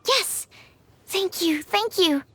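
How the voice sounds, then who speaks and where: A woman playing a timid, curious young female alchemy student in an anime game, speaking softly in hesitant, studious phrases. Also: very high-pitched, animated, takes it slowly, a little hiss or hum, exaggerated voice. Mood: eager, pleading.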